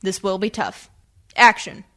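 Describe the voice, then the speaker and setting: A woman's voice: mid-range voice, lively intonation, unhurried, pushed and bright. A woman playing a theatrical announcer, presenting actors in a scripted radio comedy. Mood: brisk, showy.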